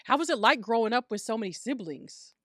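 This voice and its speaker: A man hosting an interview podcast, reading an introduction and then asking questions, answering the guest with steady affirmations. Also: very high-pitched, fairly quick, studio quality. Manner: affirming, echoes guest with "that's right" and "yes". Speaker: podcast host. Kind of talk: interview host.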